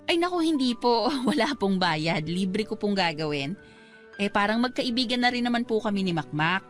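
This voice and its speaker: Woman playing a gentle worried pregnant neighbor. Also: mid-range voice, lively intonation, normal speed, soft background music, close to an everyday voice. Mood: polite. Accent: Filipino. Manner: polite, pleading, earnest. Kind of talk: radio drama acting.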